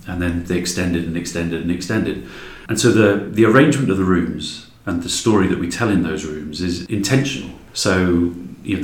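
A man talking as an interview guest, explaining a creative project conversationally with plain examples. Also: low voice. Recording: echoey room.

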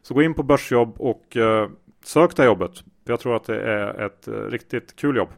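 A man's voice: medium pitch, moderate pace, vocal fry. A man hosting a podcast, speaking casually with many filler sounds. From Sweden. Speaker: podcast host.

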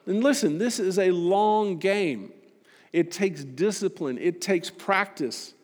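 A man delivering a sermon to a congregation as a preacher, emphatic and rhetorical, building points through repetition and pointed pauses. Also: sits high. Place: large reverberant hall. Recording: long hall reverb.

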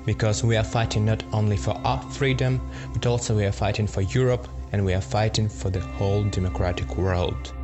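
A man's voice: fairly low pitch, fairly even intonation, fairly quick, few pauses. A young man from Ukraine with a Ukrainian accent, giving a prepared spoken appeal. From Kharkiv, Ukraine. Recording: clear music behind the voice.

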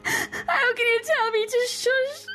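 Tearful, panicked young woman, put on by a female reader; very high, slow and weepy. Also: soft background music. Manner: crying, pleading.

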